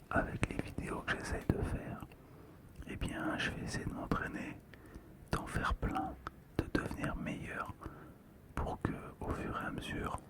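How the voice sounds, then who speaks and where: An ASMR host speaking in a breathy, unvoiced whisper, gently and soothingly, close to the microphone. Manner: slow, gentle, soothing delivery. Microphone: close ASMR microphone, monitored on headphones.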